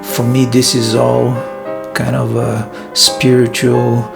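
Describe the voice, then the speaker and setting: Man for a reflective vlog, gravelly, unhurried delivery, soft music underneath. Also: mid-range voice, completely flat delivery. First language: Portuguese. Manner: thoughtful, hesitant, many pauses and fillers. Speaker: filmmaker and vlog host. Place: his room in a monastery.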